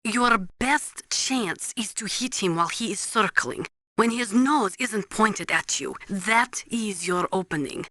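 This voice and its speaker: Crisp, forceful female weapons analyst. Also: mid-range voice, lively intonation, a little slow, few pauses, dry recording. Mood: matter-of-fact, urgent. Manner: precise, matter-of-fact, instructive. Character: blunt, knowledgeable female military weapons analyst. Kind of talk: video game radio briefing.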